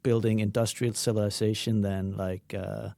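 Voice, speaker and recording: A man's voice: on the low side, level tone, moderate pace, creaky, mellow, no echo, clean studio sound. A man in a casual podcast discussion, speaking in a musing, tentative way. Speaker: podcast panelist.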